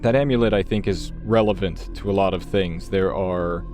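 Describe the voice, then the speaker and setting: A man playing a tabletop game in character, speaking in a deliberate, polite, inquisitive way. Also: low-pitched, a little mellow. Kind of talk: in-character roleplay questioning. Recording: music behind the voice.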